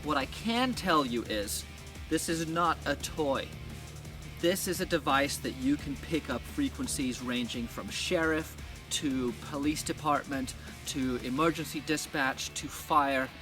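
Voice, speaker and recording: A man's voice: on the high side, a little slow, distant mic, over music. A middle-aged American man narrating a gear review in a plain-spoken way. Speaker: presenter of an off-road gear channel.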